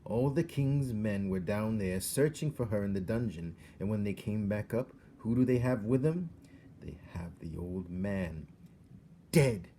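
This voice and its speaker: A man's voice: fairly low pitch, animated, measured pace, dark tone, a little hiss or hum. A man voicing a tall, brusque, dismissive servant woman in a storybook, with emphatic, indignant delivery.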